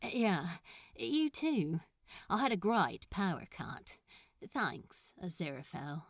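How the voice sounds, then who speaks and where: A shyly flirtatious man in a romantic story, put on by a woman reader. He sounds smitten and self-conscious, with slow, halting delivery in a dry, close recording. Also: fairly low pitch, big pitch swings, no echo, pitched below the performer's own voice, exaggerated voice. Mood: wistful.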